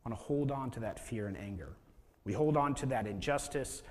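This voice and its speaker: Male pastor, thirties, mid-pitched and conversational, asks the room rhetorical questions; long church-hall reverb. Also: lively intonation, brisk, a little mellow. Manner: conversational preaching, rhetorical questions, acted-out inner voice. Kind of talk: sermon. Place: church sanctuary with a stage.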